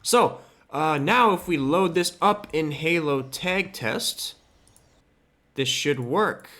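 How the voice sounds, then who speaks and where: A man's voice: a little high, lively intonation, slow pace, bright, forceful tone. Casual man talking through a screen tutorial. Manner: informal, loose and offhand, occasional swearing. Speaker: host of a game modding tutorial. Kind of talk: casual screen-capture tutorial, thinking aloud while working.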